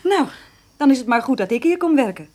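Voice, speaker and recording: Practical outspoken housekeeper, highish lively voice, radio play. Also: female voice. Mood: firm, concerned. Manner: brisk, matter-of-fact. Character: brisk, practical, outspoken female home help.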